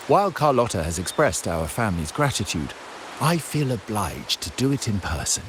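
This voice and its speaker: An actor playing a proud, scheming heir to a crime family in a video game, speaking in a formal, measured, pompous way. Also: male voice, on the low side. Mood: guarded, grave.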